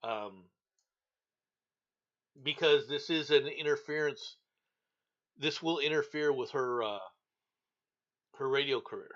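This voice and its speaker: A man's voice: medium pitch, takes it slowly, pauses often, fairly bright tone, muffled recording. A male talk show host giving blunt, opinionated news commentary, repeating himself for emphasis.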